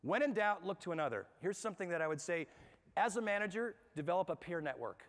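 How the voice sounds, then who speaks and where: A man giving a lively workshop talk to a live audience, asking them questions and talking in a conversational way. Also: sits high, fast. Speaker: speaker at a management workshop. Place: large hall with an audience. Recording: long hall reverb.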